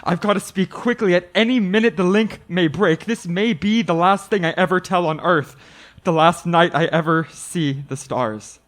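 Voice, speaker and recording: An actor playing a frightened man of thirty-six who tells his story with halting, dramatic urgency in a radio play. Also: high-pitched, a bit bright. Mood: agitated, desperate. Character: haunted, frightened thirty-six-year-old male traveler telling his story. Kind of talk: radio play acting, first-person suspense narration.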